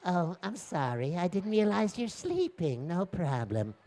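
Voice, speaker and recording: A man voicing a polite, helpful young girl in an oral story, gentle, chatty and a little hesitant. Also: high-pitched, big pitch swings, measured pace, exaggerated voice. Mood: cheerful, awkward.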